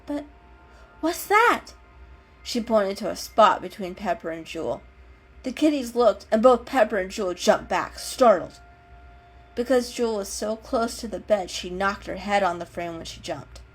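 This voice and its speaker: A woman's voice: medium pitch, moderate pace, husky, poor audio. A woman narrator giving a dramatic storybook reading. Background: music.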